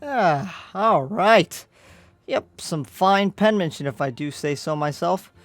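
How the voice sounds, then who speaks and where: A man's voice: sits high, expressive, slow, soft background music. A man playing an easygoing young demon slayer in an improvised anime game roleplay, talking casually and hesitantly. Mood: casual, muttering.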